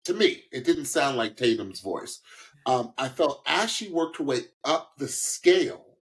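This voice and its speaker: A man co-hosting a music podcast, giving casual, opinionated commentary on singers in a halting way. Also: medium pitch, lively intonation. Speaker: podcast co-host, singing critic.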